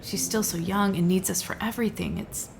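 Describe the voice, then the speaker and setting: A woman's voice: medium pitch, steady tone, a little fast, vocal fry, background noise, natural voice. A woman playing a conflicted, tired neuroscientist mother in a naturalistic audio drama, speaking in a hesitant, conversational way. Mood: weary, uncertain. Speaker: voice actor in a musical audio drama.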